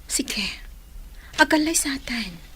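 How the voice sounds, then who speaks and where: A soft, breathy young bride-to-be. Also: female voice, high-pitched, expressive, slow pace, heavily acted. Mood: tender. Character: earnest young bride-to-be, tender and hesitant. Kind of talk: Ilocano radio drama acting.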